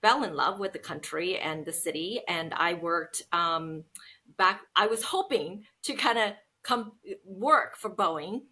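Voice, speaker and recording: Bright-voiced woman, chatty guest. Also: lowish voice, expressive, a little slow. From Seattle, United States. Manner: chatty, storytelling, frequent ums. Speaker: guest, business founder. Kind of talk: casual interview conversation.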